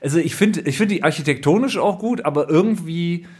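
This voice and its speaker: A man's voice: fairly high pitch, lively intonation, a little fast, a little mellow, slightly rough. A man hosting a casual talk show, chatty and jokey, with many fillers and restarts. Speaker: podcast host. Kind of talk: casual chat.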